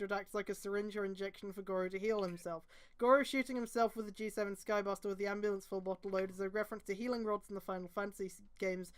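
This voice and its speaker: A woman hosting a stream, reading fan fiction aloud with exasperated, mocking, sweary casual commentary. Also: mid-range voice.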